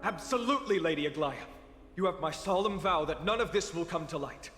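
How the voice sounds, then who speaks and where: A man's voice: on the high side, lively intonation, a little fast, fairly bright tone. A man voicing a loyal, deferential young guard in a video game, respectful and formal. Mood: loyal, earnest. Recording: echoey hall reverb, faint music.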